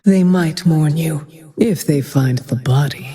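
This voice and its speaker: Grim female avenger archer for a fantasy game: slow, dark-toned, merciless and composed, in long reverb. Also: low-pitched, full character voice. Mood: cold triumph. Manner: cold, brooding, measured, often dropping to a breathy whisper. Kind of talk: dramatic video game voice lines.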